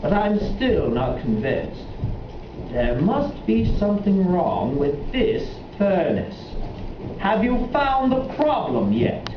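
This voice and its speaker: A man voicing an earnest, puzzled royal assistant in a children's puppet show, telling things deliberately. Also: sits high, huge pitch swings, heavily acted. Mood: puzzled, doubtful. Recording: echoey, muffled, noisy room, far from mic.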